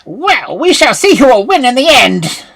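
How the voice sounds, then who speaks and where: A cruel, jealous old witch from a storybook, put on by a woman reader. She shrieks her threats high and breathy, her pitch leaping all over. Every line comes out menacing and shrill. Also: sing-song, a little slow, a bit bright, full character voice. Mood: furious.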